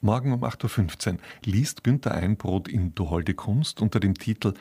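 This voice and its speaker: A man presenting scripted radio programme announcements in a formal, composed manner, with a gravelly, husky voice. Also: fairly low pitch, moderate pace, warm tone.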